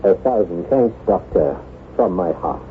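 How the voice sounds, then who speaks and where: An actor playing an anguished, devoted nobleman husband, formal, grave and halting, in old-time radio drama style. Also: low-pitched, expressive. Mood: grave, haunted. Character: anguished, haunted nobleman husband devoted to his ailing wife. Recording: noisy, narrow-band vintage radio broadcast.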